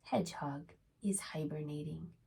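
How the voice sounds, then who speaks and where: A woman reading aloud to young children as a preschool storytime host, expressive and often putting questions to her listeners. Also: low voice, moderate pace, vocal fry, husky.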